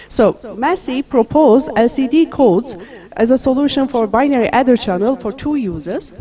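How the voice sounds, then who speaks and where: A woman's voice: on the high side, dark tone. Hesitant woman lecturer from Turkey. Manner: hesitant, many fillers, friendly. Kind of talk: university lecture.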